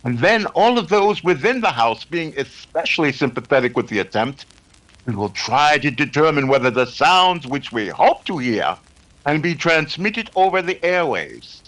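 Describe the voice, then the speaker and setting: A man acting as a learned psychic investigator in an old-time radio drama. His fairly high voice swoops expressively and rings bright, with formal, erudite phrasing and small hesitations. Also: very animated, moderate pace, low-quality recording. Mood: earnest, explanatory. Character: courtly, learned psychic investigator, a male doctor.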